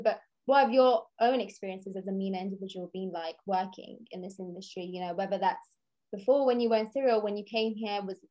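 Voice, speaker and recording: A young woman asks a guest questions over a webcam from a room. Her voice sits at a middle pitch, and she talks in a hesitant, casual way with many fillers. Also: a little mellow, highs cut off. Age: young adult. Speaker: interviewer. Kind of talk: casual video-call interview. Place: room indoors. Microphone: webcam on a video call.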